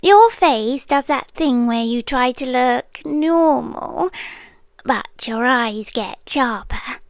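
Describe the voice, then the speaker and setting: A British woman voicing a young, obsessive yandere girl in an intimate ASMR roleplay, sweetly unsettling and lovestruck, speaking softly and hesitantly and drifting into whispers. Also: high voice, expressive, slow, no echo, clean studio sound, exaggerated voice. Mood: hushed, eerie fascination. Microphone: close microphone, dry.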